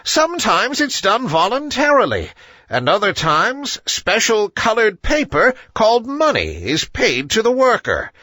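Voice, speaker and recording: Man putting on a high, authoritative old storybook owl. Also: wildly swooping pitch, unhurried, pushed and bright, no room sound, heavily acted. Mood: explaining. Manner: authoritative, emphatic hooting delivery. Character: wise, impatient old male owl chairing animals. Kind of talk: children's storybook character reading.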